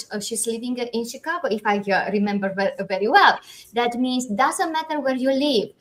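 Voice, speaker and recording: A woman's voice: a little high, low-quality recording. A woman from Europe hosting an interview, encouraging, speaking non-native English with many fillers. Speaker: host. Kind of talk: casual interview.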